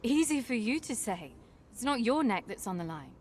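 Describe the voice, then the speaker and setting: A woman's voice: a little high, very animated, moderate pace, a little mellow, slight background noise. An actress playing a sceptical, defiant female warrior in a fantasy game, with a direct, questioning manner. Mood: anxious, defiant. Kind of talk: dramatic fantasy game acting.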